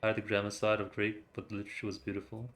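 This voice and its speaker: A man's voice: on the low side, monotone, very fast, a bit bright. A man, a native Vietnamese speaker, chatting casually and rambling through gameplay commentary on stream.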